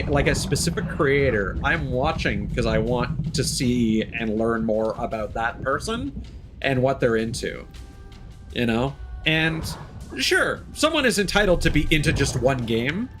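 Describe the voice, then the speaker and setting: A man's voice: mid-range voice, lively intonation, a little slow, music in the background. A man live streaming a game, casually chatting and thinking aloud in a reflective, rambling way. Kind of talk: casual chat with gameplay commentary.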